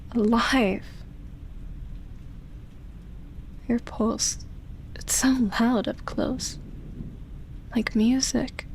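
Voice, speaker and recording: An ancient vampire woman, played by a female voice actor, speaks very slowly and softly, often near a whisper. She is tender, lonely and seductive, pausing as if hesitant, in an intimate roleplay drama. Ambient noise sits clearly behind her voice. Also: a little high, fairly even intonation, rough recording. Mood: awed, hesitant. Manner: slow, hesitant, sighing delivery with long pauses.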